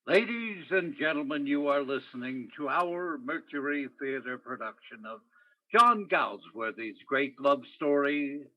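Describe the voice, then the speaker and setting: A man's voice: on the high side, animated, unhurried, muffled recording. A man playing a formal, polished 1940s radio drama host with theatrical delivery. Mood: formal, genial.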